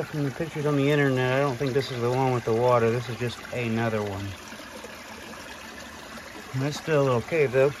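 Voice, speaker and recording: Winded man vlogging outdoors over clear noise. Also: medium pitch. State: out of breath from walking. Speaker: vlogger exploring caves. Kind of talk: casual outdoor vlog narration. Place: outdoors in woods and hillsides.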